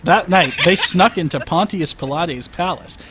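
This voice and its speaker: A man's voice: fairly high pitch, moderate pace, bright, forceful tone. A man reading comic fiction aloud on a livestream, wry in delivery and given to breaking off for asides. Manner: wry, breaks off to comment on the text. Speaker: story reader. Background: faint music.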